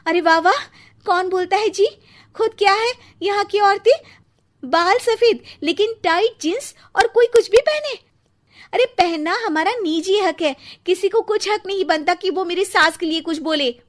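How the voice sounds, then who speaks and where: A woman voicing a young, modern Indian daughter-in-law in a Hindi cartoon story, pleading and quick to protest. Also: very high voice. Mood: indignant.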